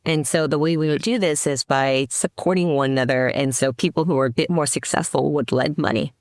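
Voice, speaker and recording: A woman's voice: deep voice, a little fast, no room sound, clean studio sound. A middle-aged woman from the Seattle area, a podcast guest, telling her story in a reflective way with many restarts. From Seattle area, United States. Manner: reflective, with frequent restarts and fillers. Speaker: podcast guest, company CEO. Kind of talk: casual interview talk.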